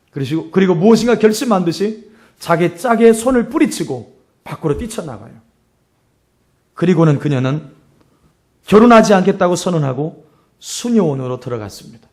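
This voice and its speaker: Middle-aged male pastor, high-pitched and hoarse. Sermon delivery, emphatic, with repeated phrases. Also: a little mellow. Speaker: pastor preaching. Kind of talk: sermon with storytelling and exhortation.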